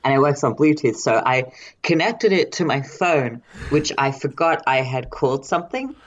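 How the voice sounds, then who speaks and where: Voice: mid-range voice, expressive, moderate pace, highs cut off. A co-host of a casual podcast, trading dry, teasing banter, recording on a laptop headset. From Australia. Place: remote farmhouse.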